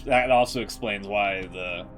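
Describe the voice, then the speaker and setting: A man's voice: fairly low pitch, a little slow. A man giving casual, informal live commentary as a game streamer, reacting as he goes. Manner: informal, reactive, some swearing. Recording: prominent music behind voice.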